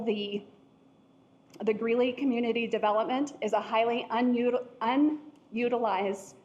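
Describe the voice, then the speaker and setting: A woman with a loud voice answering confidently as a city candidate at a forum. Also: a little high.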